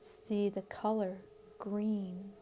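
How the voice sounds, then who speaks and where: Very slow, mellow woman guiding meditation. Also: mid-range voice, a little hiss or hum. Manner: calm, soothing, measured with long pauses. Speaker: guided meditation teacher. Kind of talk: guided meditation narration. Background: background music.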